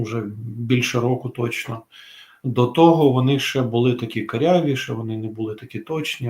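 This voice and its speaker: A man from Ukraine speaks casually and reflectively. His voice is of average pitch, with a marked gravelly creak.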